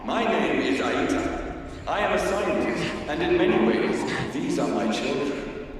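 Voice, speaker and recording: A distant voice rings in heavy, long reverb over noisy ambience. It is an eerie villain scientist, slow, theatrical and calmly superior, in a video game cutscene. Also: male voice, high-pitched. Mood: smug, welcoming. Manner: measured, condescending, theatrical.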